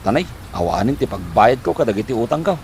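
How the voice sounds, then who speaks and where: A man's voice: mid-range voice, big pitch swings, fast, creaky, darkish tone. A man acting a worried, debt-ridden husband in an Ilocano comedy drama, with an Ilocano accent and a flustered, stammering, sighing manner. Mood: weary, hungry. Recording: noticeable background noise.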